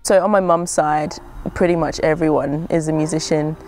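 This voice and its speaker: A young woman from Zimbabwe, a singer-songwriter, speaking reflectively in an interview, often pausing with "um" and "sort of". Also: deep voice, fairly even intonation, a little mellow, a little hiss or hum. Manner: thoughtful, hedging with frequent "um" and "sort of".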